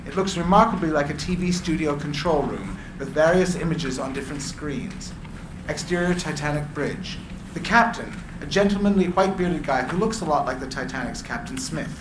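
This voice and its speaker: A man reading screenplay stage directions as narrator, with deliberate descriptive phrasing. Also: on the high side, expressive. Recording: echoey room, clear background noise.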